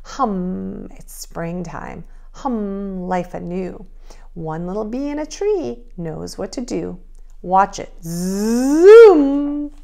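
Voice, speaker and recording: Very animated woman teaching little kids. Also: medium pitch, extremely slow, gravelly. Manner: expressive, addresses young children directly. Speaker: preschool teacher. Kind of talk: children's read-aloud and drawing lesson.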